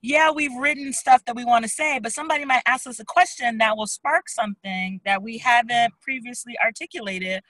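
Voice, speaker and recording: A woman with a bright, crisp voice co-hosts an online discussion. The sound is dry and close, with no room echo. She explains in quick bursts and encourages the group to ask questions. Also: on the high side. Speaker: co-host of a discussion salon. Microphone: online video call.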